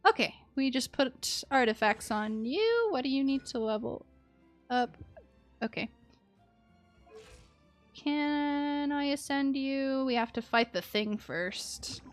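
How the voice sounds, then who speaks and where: Girl streamer w a highish voice, chatty, kinda muttering to herself while gaming, faint music behind. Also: lively intonation, slow, long pauses. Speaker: game streamer. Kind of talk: casual live gameplay chat, thinking aloud.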